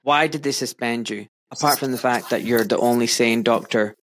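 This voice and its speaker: A man's voice: medium pitch, normal speed, a bit bright. Man hosting an interview podcast. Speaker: podcast host.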